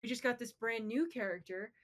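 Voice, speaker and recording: A woman's voice: a little high, brisk, fairly bright tone. A woman co-hosting a podcast, casually explaining her opinions on films and games with the odd swear. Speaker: podcast co-host. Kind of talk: casual discussion of film and games.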